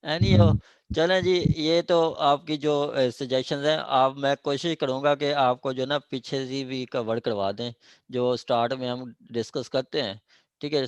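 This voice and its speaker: A man's voice: mid-range voice, dry recording. A man with a Pakistani accent teaching an online class informally, often checking in with short tag questions. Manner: repeated check-in tags. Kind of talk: informal classroom talk, announcing schedules.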